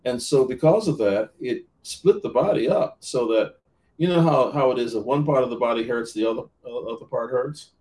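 Male minister, interview guest, earnest and halting, mid pitch, slightly distant mic. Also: a little mellow. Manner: earnest, many restarts and repeated words. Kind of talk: podcast interview talk.

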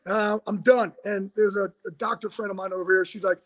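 A man with a raspy voice, a guest calling in from home, chatting casually and loosely with lots of fillers. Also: high voice, fairly even intonation, brisk. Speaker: interview guest, football insider. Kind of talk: casual interview chat.

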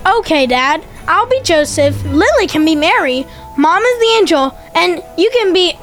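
A woman's voice: very high voice, very animated, moderate pace, a bit bright, faint music behind, exaggerated voice. A woman voicing an eager, cheeky young boy in an audio drama. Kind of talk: church audio drama acting.

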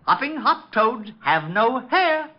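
A man's voice: very high voice, big pitch swings, takes it slowly, heavily acted. An actor playing an eager, polite, eccentric little man with daffy sing-song delivery in an old radio comedy-drama. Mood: chirpy, daffy. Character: eccentric, chirpy little man, a would-be bodyguard and tall-tale teller. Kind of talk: late-1940s radio comedy-drama acting. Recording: dull, muffled old radio recording.

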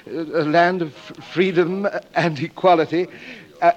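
An actor in a 1940s radio drama playing a dignified, deferential English manservant, speaking formally and politely with some hesitation in an English accent. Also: male voice, a little high, fairly even intonation, a little slow, highs cut off, lightly acted. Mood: earnest.

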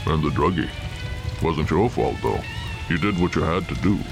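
A deep-voiced, ancient Santa Claus played by a man, bitter and worn out, brooding slowly and taunting like someone at the end of his rope. It is audio drama, with music and rain clearly under the voice. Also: animated, full character voice. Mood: knowing, menacing. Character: weary, bitter, drunken armed Santa Claus, centuries old.

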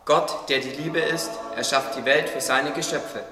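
A man's voice: a little high, steady tone, unhurried, over music, lightly acted. A man playing a thoughtful, devout gardener in a German radio play. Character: thoughtful, devout male gardener, friend to animals. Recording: echoey hall, clear background noise.

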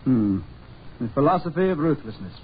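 An actor playing a stuffy, civilized diplomat, stiff and measured, in 1940s radio drama style. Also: male voice, mid-range voice, sing-song, lightly acted. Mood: earnest disdain. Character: stuffy, civilized male diplomat, easily irked. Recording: muffled, noisy 1940s radio recording.

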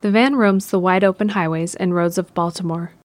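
A woman reading scripted narration for an audio drama in a measured, descriptive way. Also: on the low side, steady tone.

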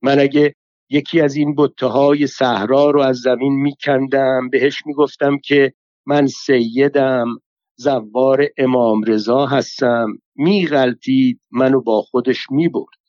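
Scolding older woman pilgrim, as a male reader puts her on, bitter and foul-mouthed, piling up curses and folk sayings, told in a storybook reading. The sound is dry and close, a little dull in the highs. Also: steady tone, darkish tone, dry recording, lightly acted. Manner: cursing, complaining torrent of folk sayings. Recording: slightly dull lo-fi dry recording.

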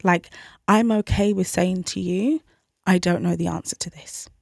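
A woman's voice: fairly low pitch, fairly even intonation, moderate pace, creaky, no room sound, studio quality. A woman in her thirties hosting a parenting podcast alone, talking in a conversational, reflective way and openly questioning herself. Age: 30s. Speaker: podcast host. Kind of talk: solo parenting podcast talk.

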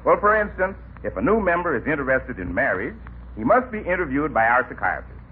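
An actor playing a fussy, formal club interviewer in an old radio comedy, explaining things patiently. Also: male voice, medium pitch, sing-song, a little fast, natural voice. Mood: formal, helpful. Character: officious, fussy male social club interviewer. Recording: scratchy old radio broadcast, narrow band.

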